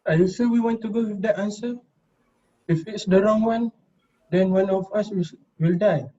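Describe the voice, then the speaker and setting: Timid male student played by a man, frightened, high mellow voice, dull amateur drama recording. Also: normal speed, slight background noise, natural voice. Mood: nervous, pleading.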